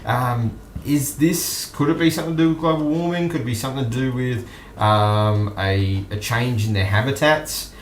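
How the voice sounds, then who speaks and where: Echoey Australian man, low-key news streamer. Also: moderate pace, few pauses, a little hiss or hum. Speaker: host of an online news commentary stream. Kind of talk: casual off-the-cuff commentary on news clips. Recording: roomy echo with music behind.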